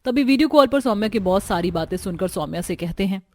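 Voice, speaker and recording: A woman narrating a family cartoon story, brisk and steady in a mid-range voice. Faint music plays under her. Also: mid-range voice. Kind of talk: scripted narration.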